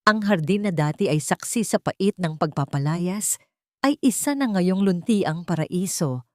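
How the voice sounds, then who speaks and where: A woman's voice: lowish voice, expressive, unhurried, no echo, studio quality. A woman reading a scripted, dramatic audio story as its storyteller.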